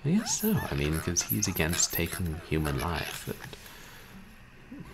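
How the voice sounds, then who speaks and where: A man streams a game with casual commentary. His voice is very low and gravelly but swings animatedly, with clear noise in the recording. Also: wildly swooping pitch, slow. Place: small room indoors.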